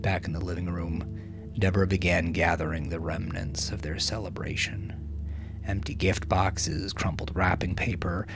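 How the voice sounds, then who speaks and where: A man narrating dramatic fiction from a script in a measured, solemn manner, with hushed phrase endings. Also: low-pitched, fairly even intonation, vocal fry. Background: music.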